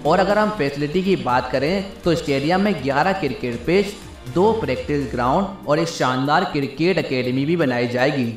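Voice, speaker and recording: Higher-voiced man narrating over music, roomy sound. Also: normal speed. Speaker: video narrator. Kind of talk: scripted narration, top-ten countdown. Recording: roomy playback with music bed. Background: background music.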